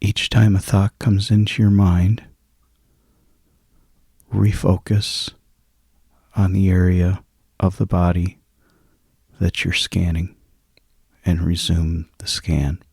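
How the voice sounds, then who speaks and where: A man leading a guided sleep meditation in a soft, hushed, soothing and husky voice, with long pauses between phrases. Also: deep voice, flat delivery, no room sound.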